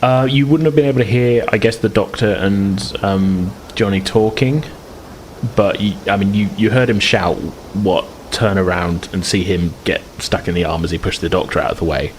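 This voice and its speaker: A man's voice: low-pitched, normal speed, a little hiss or hum, rough recording. A man improvising casual narration as game master, explaining things in a rambling, hedging way.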